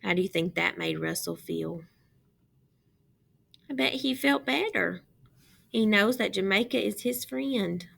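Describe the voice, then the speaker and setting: A woman reading a picture book aloud to children in an expressive, deliberate way, pausing to put questions to her listeners. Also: medium pitch, gravelly.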